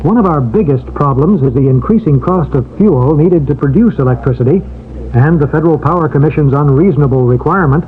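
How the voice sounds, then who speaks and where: A man's voice: fairly high pitch, fairly quick. A man reading a formal scripted radio message as a company spokesman. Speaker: utility spokesman. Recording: tinny old radio, faint noise.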